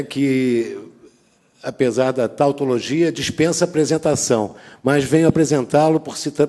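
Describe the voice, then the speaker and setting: A man chairing a session, formally introducing the next speaker in a ceremonious, halting way. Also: medium pitch, darkish tone. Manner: ceremonious, hesitant, with pauses. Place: conference auditorium. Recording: reverberant lecture hall.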